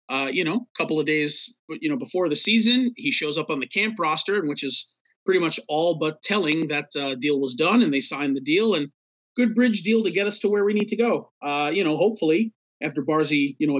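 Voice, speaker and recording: New guy co-hosting a sports podcast, laid-back rambler, slightly high voice, dry muffled sound. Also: fairly bright tone, no echo. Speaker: podcast co-host. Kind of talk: casual hockey podcast chat.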